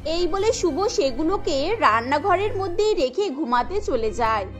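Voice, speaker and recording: Woman narrating a cartoon story, high voice, steady storybook telling. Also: a little slow, faint music behind.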